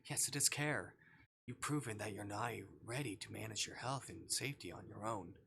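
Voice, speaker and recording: Man voicing a quiet, controlling male kidnapper. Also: lowish voice, moderate pace, gravelly, noisy background. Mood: cold, possessive. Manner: quiet, composed, clinical, condescending. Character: calm, controlling male kidnapper convinced he is a caring partner. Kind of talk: visual novel character reading.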